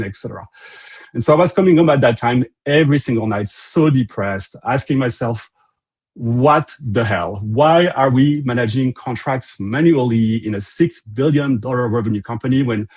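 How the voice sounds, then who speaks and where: French-accented man with a husky voice. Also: medium pitch, a little mellow. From France. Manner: loose and conversational, frequent uh fillers and restarts. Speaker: company CEO presenting a webinar. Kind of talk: presentation to an online audience.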